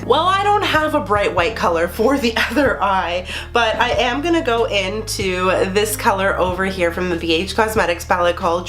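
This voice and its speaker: A woman's voice: mid-range voice, a bit bright. A woman in her thirties with a stuffy, nasal cold voice, chatting casually as she reviews a palette and does her makeup in a small bedroom. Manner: chatty, explains each step while applying makeup. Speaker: beauty vlogger. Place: small bedroom with string lights, indoors.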